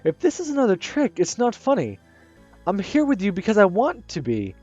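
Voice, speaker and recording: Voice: mid-range voice, wildly swooping pitch, moderate pace, darkish tone, dry recording, highs cut off, soft background music, voice pushed up. A gamer reading a visual novel aloud, voicing an earnest, caring teenage schoolboy who speaks urgently. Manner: urgent, insistent.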